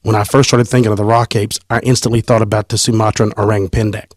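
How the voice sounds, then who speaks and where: Man, podcast host, teasing and animated, low voice, dry close sound. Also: quick pace, dry recording. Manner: animated, teasing, reads a story aloud. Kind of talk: casual podcast chat and reading aloud.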